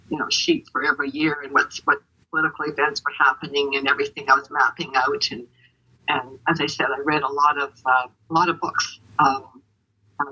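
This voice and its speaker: A woman's voice: low voice, pushed and bright, husky, muffled recording. An older woman from Canada, whose first language was Ukrainian, answering interview questions in a rambling, hesitant way full of fillers and restarts. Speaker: author being interviewed.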